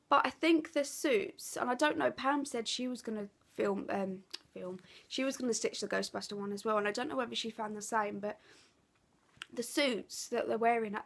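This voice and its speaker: A woman's voice: fairly high pitch, vocal fry, fairly bright tone. Chatty stuffy-nosed woman with Essex accent. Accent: Essex English. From England. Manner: rambling, chatty, lots of "um"s and self-corrections. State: blocked, stuffy nose. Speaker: craft vlogger. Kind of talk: casual chat to camera.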